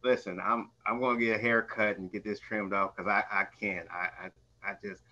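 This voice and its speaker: Bright, low-pitched American male host. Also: steady tone, normal speed. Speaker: event host. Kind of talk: informal hosting. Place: office, video call. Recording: slightly dull video-call audio.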